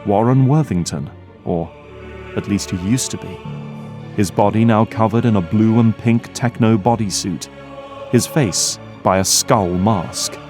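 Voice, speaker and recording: A man's voice: lowish voice, a little slow, music in the background. A man narrating an audio drama, reading scripted scene descriptions in a dramatic storytelling style.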